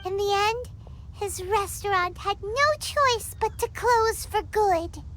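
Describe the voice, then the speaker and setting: A woman voicing a tiny, excitable female fairy companion in a game cutscene, telling a story in a playful, animated way. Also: takes it slowly. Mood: storytelling. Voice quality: high squeaky childlike voice. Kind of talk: video game cutscene dialogue. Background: loud game music.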